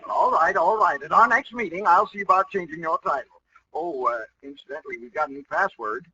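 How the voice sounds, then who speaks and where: A man's voice: on the high side, brisk, crowd noise, lightly acted. An actor playing a blustery, jolly, pompous lodge leader and businessman in a 1940s radio comedy. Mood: blustery, jovial. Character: blustery, secretive male lodge leader and real-estate boss. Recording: hissy, muffled old radio broadcast.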